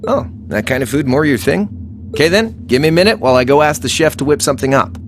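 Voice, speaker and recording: A man's voice: a little high, expressive, quick pace, faint music behind. An actor playing a cocky, laid-back young male rebel in a video game, teasing and flippant in a casual way. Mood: easygoing. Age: young adult.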